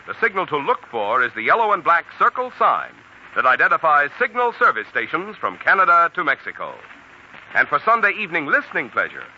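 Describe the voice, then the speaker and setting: A man's voice: fairly high pitch, pushed and bright, highs cut off. A man announcing for a radio sponsor in a polished, emphatic 1940s style. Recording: old radio recording, faint noise.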